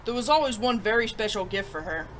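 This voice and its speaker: A woman's voice: medium pitch, expressive, quick pace, fairly bright tone. Woman story-time host, noisy recording. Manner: enthusiastic, theatrical presenter. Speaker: host of a story-time show reviewing children's books. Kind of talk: picture book read-aloud and upbeat review.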